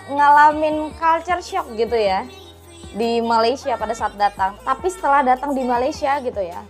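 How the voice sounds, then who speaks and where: A woman hosts a reaction vlog, chatty and quick, filling her talk with fillers and exclamations. Her voice sits a touch high. Soft music plays underneath. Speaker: vlog host.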